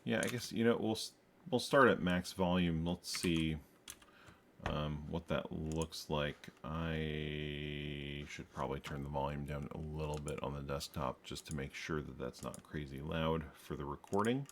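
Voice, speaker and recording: Slow, gravelly low-voiced man thinking aloud. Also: slight background noise. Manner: mumbling, self-correcting, wry asides. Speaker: programmer streaming his coding. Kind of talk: thinking aloud while coding. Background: faint keyboard typing.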